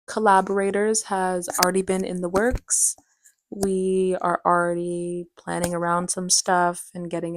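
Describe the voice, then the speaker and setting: A young woman telling personal stories in a casual vlog style, with an animated, slangy, playfully dramatic way of talking. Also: lowish voice, dry recording. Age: young adult. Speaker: vlogger and aspiring actress. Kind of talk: casual chatty vlog storytelling.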